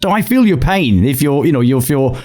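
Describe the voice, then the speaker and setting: A man with a lively, swooping pitch that rises and falls as he tells a story. He hosts a casual podcast chat and talks with relaxed, chatty ease. His manner is storytelling, and he throws in bits of a mock Scottish voice. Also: brisk. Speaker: podcast host. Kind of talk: casual banter.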